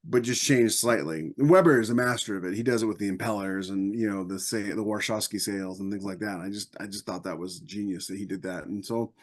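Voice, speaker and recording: A man's voice: on the low side, fairly quick, hardly any pauses. A man chatting casually as a podcast panelist, conversational and repeating phrases for emphasis. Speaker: podcast panelist and science fiction author.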